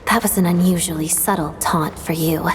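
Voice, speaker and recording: A woman playing a guarded, wistful and proud dancer in a game, with a breathy, whispery delivery. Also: on the low side, fairly even intonation, moderate pace, slight background noise, close to an everyday voice. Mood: guarded, wry. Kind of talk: dramatic game cutscene acting. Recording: long reverberant hall echo. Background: music.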